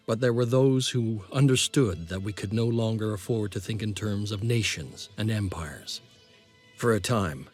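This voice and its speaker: An actor playing a solemn male chronicler, narrating a scripted video game cutscene with deliberate phrasing. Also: fairly low pitch, natural voice. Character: solemn male chronicler of a fallen secret project. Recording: loud music behind the narration. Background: loud music.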